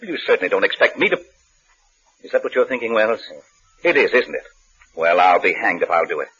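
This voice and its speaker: An actor playing an indignant, self-righteous law partner in a 1950s radio suspense play, accusing and threatening. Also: male voice, on the low side, big pitch swings, fairly quick, fairly bright tone, husky, exaggerated voice. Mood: indignant, alarmed. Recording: noisy, muffled old radio recording.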